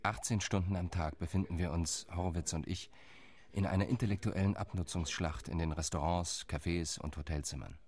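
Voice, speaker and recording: A man's voice: sits low, brisk, darkish tone. An actor playing a male envoy lobbying diplomats, speaking in an earnest, reflective radio play monologue.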